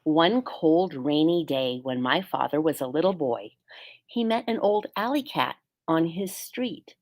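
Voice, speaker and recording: Low-voiced woman reading a children's story. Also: expressive, measured pace, darkish tone. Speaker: schoolteacher reading aloud to pupils. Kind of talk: children's storybook read-aloud.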